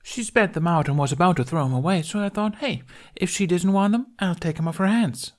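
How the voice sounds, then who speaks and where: Man putting on a high, chattering, excitable young fairy woman. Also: lightly acted. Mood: excited, rambling. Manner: rapid gushing, cheerful and blithe.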